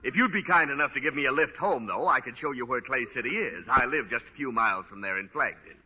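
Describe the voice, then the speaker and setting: A man's voice: medium pitch, expressive, fast talker, bright, forceful tone, husky, exaggerated voice. An actor playing a polite, oblivious and chatty male passerby in a 1940s radio sitcom. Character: chatty, mild, unhelpful male pedestrian hitching a ride. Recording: dull vintage radio broadcast.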